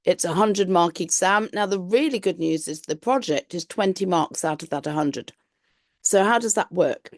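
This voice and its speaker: A low-pitched woman with a gravelly, croaky voice left over from the flu talks through a course introduction. She explains it patiently and reassures her listeners as she goes. The recording is dry and close, with no room sound around her. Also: moderate pace, no room sound. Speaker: tutor. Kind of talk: online lesson talk.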